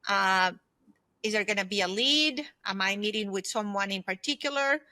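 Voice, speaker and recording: A woman's voice: mid-range voice, moderate pace, pushed and bright. A woman in her fifties talking as an interview guest, sharing personal experience and advice in an emphatic, conversational way. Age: 50s. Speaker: guest, solopreneur consultant and former aerospace executive.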